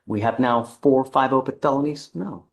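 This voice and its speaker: A man speaking as a judge at a courtroom hearing, measured and deliberate, stern but patient. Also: mid-range voice, highs cut off.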